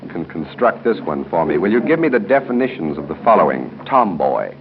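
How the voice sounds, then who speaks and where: A deep-voiced American man hosts a 1930s radio quiz with a lively, swinging delivery. He banters genially and jokes as he goes. The sound is a muffled, noisy old broadcast. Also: brisk. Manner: genial and joking, chuckles between questions. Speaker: quiz show host. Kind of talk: 1930s live radio audience quiz hosting, ad-libbed banter. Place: hotel room at a club luncheon.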